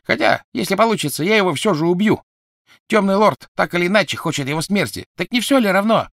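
A man voicing a huge, brutish teenage boy with an oddly gentle voice, menacing and jeering. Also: a little high, expressive, unhurried, no room sound, clean studio sound, voice pushed up. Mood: furious. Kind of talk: audiobook character voice.

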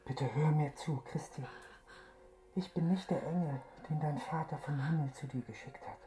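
An actor playing a desperate, lovelorn masked man in a radio drama, half-whispering in a soft, pleading, seductive way over music. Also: fairly high pitch, normal speed, lightly acted. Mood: soothing, sorrowful. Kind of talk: intimate radio drama acting.

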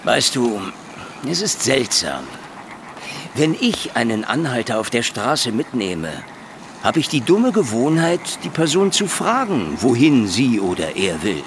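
Casting: sardonic male private eye for noir radio drama, very animated intonation, clearly noisy effects behind. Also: mid-range voice, unhurried. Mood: sardonic, probing. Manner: ironic, drawling taunts and mock endearments. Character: sardonic, wisecracking hard-boiled male private detective. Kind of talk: radio play acting with noir first-person narration.

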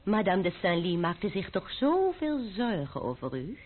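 A woman's voice: lowish voice, sing-song, moderate pace, a little hiss or hum. An actress playing a spirited, self-assured young French noblewoman who teases and mocks in an old-fashioned Dutch radio drama. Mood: teasing, defiant. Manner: arch, mocking, confident. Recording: muffled old radio play recording.

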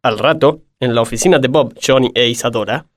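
A man's voice: mid-range voice, fairly quick, close to an everyday voice. A man playing the announcer of a parody radio drama. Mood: announcing.

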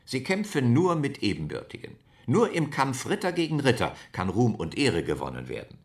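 An actor playing an emphatic expert on knights, commentating in a radio play. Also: male voice, mid-range voice, wildly swooping pitch, normal speed.

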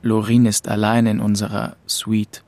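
Radio drama lead: grieving young narrator, introspective and detached, low with a gravelly edge. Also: male voice, monotone, a little slow, darkish tone, no room sound. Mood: detached, melancholy. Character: grieving orphaned young man of twenty-two, aimless and withdrawn. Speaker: first-person narrator. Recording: music behind the voice.

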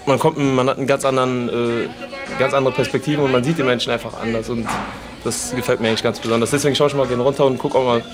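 A man's voice: mid-range voice, fairly even intonation, fast talker. Informal male speaker, mid register, hesitant spontaneous talk in a gym with music playing. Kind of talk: casual spontaneous self-introduction and commentary. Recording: music behind the voice.

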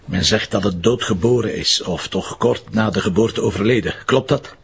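A man's voice: fairly low pitch, normal speed, gravelly, a little hiss or hum. A man playing a dry, sarcastic police investigator with a Flemish accent in a crime drama. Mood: businesslike. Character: sardonic male police investigator pressing a suspect.

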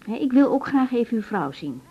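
An actress playing a brisk, caring young doctor in a radio drama, direct and reassuring as she asks her questions. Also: a little high, big pitch swings, breathy edge. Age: young adult. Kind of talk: naturalistic radio drama acting. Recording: dull, band-limited radio recording.

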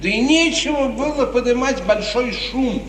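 An actor playing a sly, obsequious, cynical courtier with an insinuating, theatrical delivery in 1950s Soviet radio drama style. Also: male voice, extremely high pitch, a crowd in the background. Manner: insinuating, theatrical, drawn-out emphasis. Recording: noisy, echoey, distant 1950s radio recording.

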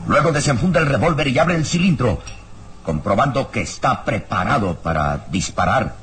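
A man's voice: medium pitch, animated, moderate pace, fairly bright tone, a little hiss or hum. A man narrating a radio serial in a theatrical, suspenseful, scripted style. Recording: radio drama with music underneath.

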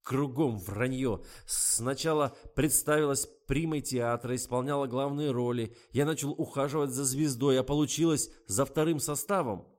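A man voicing a furious, contemptuous young lover who shouts and sneers, in an audiobook reading. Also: medium pitch, a little slow, hardly any pauses, voice pushed up, close to an everyday voice.

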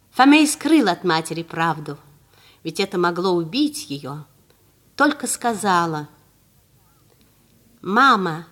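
Calm slow-reading woman storyteller. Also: a little high. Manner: calm, measured, expressive. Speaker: narrator. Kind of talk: scripted storybook narration for children.

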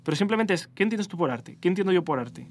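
A man's voice: high-pitched, quick pace, a little hiss or hum. A man with a Spanish accent hosting a podcast debate on art, explaining ideas at length and often correcting himself. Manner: explanatory, self-correcting, animated. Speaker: podcast host. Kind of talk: philosophical debate.

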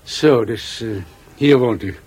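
An actor with a Netherlands Dutch accent playing a measured police detective in a radio play, politely probing in a dry manner. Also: male voice, mid-range voice, darkish tone, slightly rough.

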